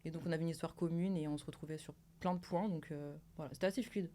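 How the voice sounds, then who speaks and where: A young woman from Paris, speaking calmly and reflectively on a round-table podcast. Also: low voice, fast talker. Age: young adult. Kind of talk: casual round-table chat.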